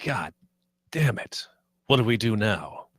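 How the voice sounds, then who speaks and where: A man's voice: on the low side, big pitch swings, slow pace, no echo, studio quality, heavily acted. A man playing a grumpy, exasperated veteran spaceship captain in a comedy table read. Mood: weary, exasperated. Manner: exasperated, sighing.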